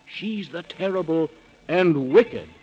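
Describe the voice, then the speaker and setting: A man's voice: a little high, expressive, takes it slowly, a little mellow. A man narrating a rhyming children's bedtime story in theatrical, sing-song 1930s radio style. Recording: muffled 1930s radio recording.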